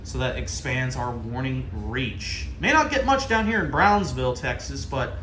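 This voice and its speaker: American male vlogger, forceful bright tone, informal and enthusiastic, casual unboxing narration, noticeable background noise. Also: medium pitch, expressive, normal speed, low-quality recording. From Ohio, United States. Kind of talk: casual hands-on product unboxing commentary. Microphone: handheld camera.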